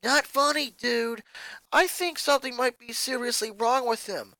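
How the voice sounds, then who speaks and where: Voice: medium pitch, moderate pace, bright, forceful tone, dry recording, voice pushed up, low-quality recording. A reader voicing a fifteen-year-old rocker girl who talks casually, calling people "dude", and sounds concerned. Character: teen girl rocker, laid-back but concerned.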